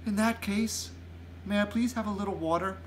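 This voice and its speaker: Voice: medium pitch, moderate pace, slight background noise, pitched above the performer's own voice, close to an everyday voice. A storyteller voicing a polite, curious little girl who asks questions in a children's storybook. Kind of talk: children's storybook read aloud.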